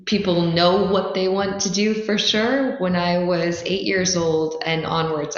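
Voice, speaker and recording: A woman from the Netherlands giving articulate, explanatory answers as a startup founder in an interview. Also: low-pitched. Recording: roomy, slightly dull sound.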